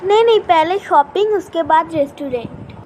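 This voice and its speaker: A sweet, adoring young girlfriend from a cartoon romance, voiced by a woman, very high in pitch. She sounds coy and devoted, over faint noise. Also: normal speed, a little mellow, rough recording. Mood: cheerful. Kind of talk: dubbed cartoon story dialogue.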